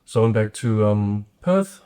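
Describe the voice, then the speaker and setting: A man's voice: fairly low pitch, lively intonation, normal speed, mellow, smooth. A man in his forties from Australia hosting a podcast, chatting casually with lots of fillers and tag questions. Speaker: podcast host. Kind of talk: casual interview chat.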